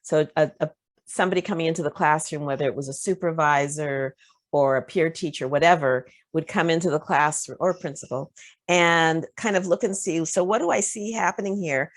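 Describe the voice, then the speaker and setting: A woman with a lowish voice leads a workshop over a video call. She talks in a loose, conversational way, often pausing on "um" as she gathers her thoughts. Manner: relaxed, conversational, with frequent fillers. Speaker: presenter leading a discussion. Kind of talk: online workshop discussion.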